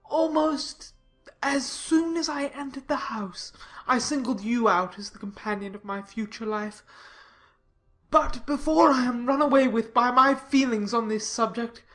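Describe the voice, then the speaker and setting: A pompous, self-satisfied clergyman played by a woman in a dramatized classic novel, pitched fairly high. The delivery is formal and long-winded, every phrase solemnly laid out. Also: a little slow, fairly bright tone, soft background music. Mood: pompous, self-assured. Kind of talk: dramatized audiobook acting.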